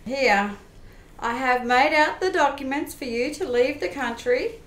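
An actress playing an officious, sceptical government clerk in a radio play, recorded in a roomy space. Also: female voice, high voice, lively intonation, measured pace, fairly bright tone. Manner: formal, officious. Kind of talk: old-time radio play acting. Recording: roomy echo.

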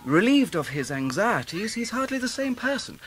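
Radio drama: courteous young English solicitor, eager, precise, animated pitch. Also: male voice, moderate pace, slight background noise. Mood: careful, pleased. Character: earnest, courteous, good-natured young lawyer. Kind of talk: 1990s radio drama acting.

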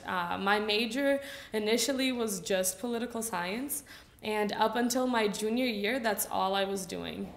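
Woman, twenties, from Brazil, native Portuguese speaker, informal student talk, mid-pitched voice, reverberant room. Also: a bit bright. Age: 20s. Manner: casual, chatty, frequent fillers. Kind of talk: symposium talk. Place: lecture hall at a lectern. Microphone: lectern microphone. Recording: echoey room.

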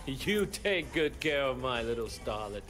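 An actor playing a sleazy, crude and boastful crime boss in a video game cutscene. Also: male voice, mid-range voice, sing-song, measured pace, fairly bright tone, faint music behind. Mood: smug, bossy.

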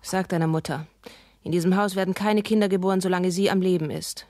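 An actress playing a fierce, commanding wife in a radio drama, imperious and taunting. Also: female voice, lowish voice, fairly even intonation, fast, darkish tone, no echo, lightly acted. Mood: cold, commanding. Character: fierce, commanding, mocking wife in a household feud. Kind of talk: German radio drama acting.

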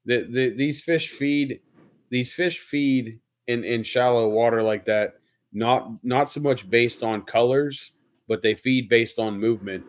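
A man's voice: mid-range voice, unhurried, dry recording. A man co-hosting a casual fishing podcast over a video call, telling stories with animation and hedging his opinions. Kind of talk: casual storytelling chat.

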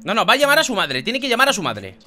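A man's voice: sits high, huge pitch swings, fast talker, bright, forceful tone. A man in his twenties with a Spain Spanish accent, bantering casually over a video game in a mocking, needling way. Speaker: gaming YouTuber, host. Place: indoor gaming room. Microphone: close studio condenser microphone, with headphones. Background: faint game music.

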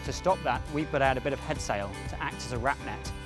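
A man's voice: mid-range voice, quick pace. A young man giving instructional explanations to camera as a sailing skipper, outdoors on a yacht. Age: young adult. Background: music.

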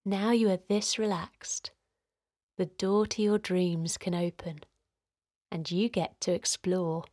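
A woman gently guiding a children's relaxation in a soothing, encouraging way, her voice softly breathy and hushed at times. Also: on the low side, level tone, slow, no room sound, clean studio sound. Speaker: host of children's guided relaxation. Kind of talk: guided meditation narration for children.

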